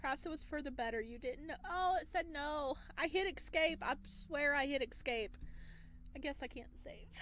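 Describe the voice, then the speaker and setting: A woman reading a visual novel aloud as a let's player, expressive, with casual chatty reactions. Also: high-pitched, moderate pace. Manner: expressive, exclaims and chats between read passages. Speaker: video game let's player.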